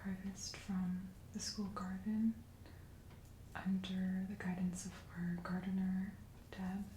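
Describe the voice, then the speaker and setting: A young woman whispering softly and unhurriedly as a guest in a relaxed ASMR chat. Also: mid-range voice, a little hiss or hum. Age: young adult. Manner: soft whispering, unhurried and reflective. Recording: echoey room.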